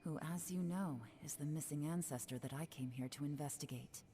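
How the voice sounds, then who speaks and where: Woman voicing a cool investigator, very low voice, dark tone, calm and dry, video game. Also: fairly quick, soft background music, lightly acted.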